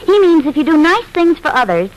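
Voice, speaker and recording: A woman's voice: sits high, big pitch swings, fairly quick. An actress playing a sensible, dryly teasing wife and lady of the house in a 1940s radio sitcom. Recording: noisy vintage radio recording.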